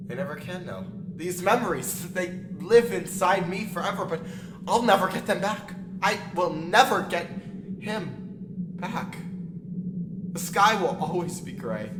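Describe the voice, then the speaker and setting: A man playing a grieving, lonely young man in an anguished, halting audio drama monologue. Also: high voice, lively intonation. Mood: distraught, then desolate. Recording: roomy echo with clear background noise.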